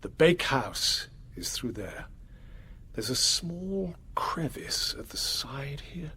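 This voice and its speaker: Gentleman investigator, husky mid-pitched voice, commanding, secretive, formal period diction, audio drama. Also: very animated, slow pace, whispered, heavily acted. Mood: hushed, commanding. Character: authoritative, secretive gentleman investigator leading officials.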